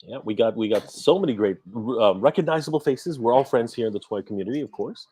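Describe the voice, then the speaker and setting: A man co-hosting a live show in casual chat, excitable, often repeating and tripping over his words. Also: mid-range voice, quick pace, breathy edge, dry recording. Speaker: guest co-host.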